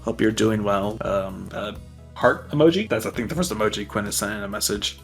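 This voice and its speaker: A man's voice: on the low side, fairly quick, creaky. Male tabletop player over background music. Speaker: player in a tabletop roleplaying game. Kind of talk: casual improvised roleplay narration.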